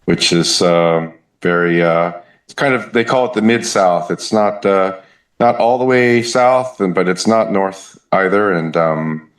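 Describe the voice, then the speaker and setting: A man in his fifties from the Southern United States with a Southern US accent, chatting as a musician guest in an interview, relaxed and hesitant with many fillers. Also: low voice, measured pace. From Southern United States (Kentucky, Memphis). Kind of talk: casual interview chat. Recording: echoey room.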